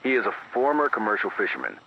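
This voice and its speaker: An American man playing a careful, sober emergency radio news anchor, reading a scripted bulletin in a measured, deliberate way through an AM radio filter. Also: fairly low pitch, sing-song, gravelly, dull audio, exaggerated voice. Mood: grave, controlled. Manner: measured, deliberate, cautious, repeating key words for weight. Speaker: radio broadcaster. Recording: band-limited AM radio sound with hiss.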